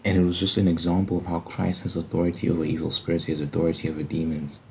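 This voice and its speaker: A man's voice: low voice, completely flat delivery, rapid-fire, vocal fry, a little mellow, slight background noise. A man giving an informal, reflective ASMR religious monologue, thinking aloud as he explains scripture. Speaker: host of an ASMR Bible study. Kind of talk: ASMR religious monologue, conversational Bible commentary.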